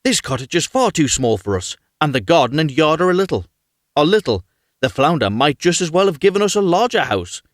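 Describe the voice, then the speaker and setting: A man's voice: fairly high pitch, big pitch swings, fairly quick, no echo, clean studio sound. A man voicing a bossy, greedy wife in a storybook tale, demanding and commanding.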